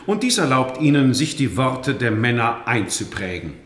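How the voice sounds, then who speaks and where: A man's voice: medium pitch, big pitch swings, moderate pace, fairly bright tone. A man playing a scornful, pedantic medieval cleric with a stiff, condescending manner in a radio drama. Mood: haughty. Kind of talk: German radio drama acting. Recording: very echoey stone-room sound.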